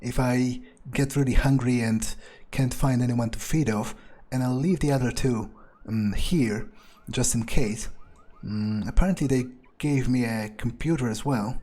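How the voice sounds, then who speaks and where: Casting: man, husky mid-to-low voice, rambling in-character game player, musing aloud with crude asides. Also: a little slow, hardly any pauses, mellow. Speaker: gaming video creator playing in character. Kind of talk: improvised in-character game commentary.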